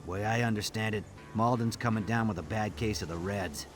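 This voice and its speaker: An actor playing a seasoned, sardonic special forces soldier in a video game cutscene, dry and unimpressed. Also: male voice, lowish voice, fairly quick, music in the background, lightly acted.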